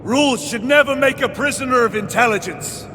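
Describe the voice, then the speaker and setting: An actor playing a steady space marine veteran in a video game, measured and disciplined. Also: male voice, very high-pitched, long reverb tail, noisy background. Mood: focused.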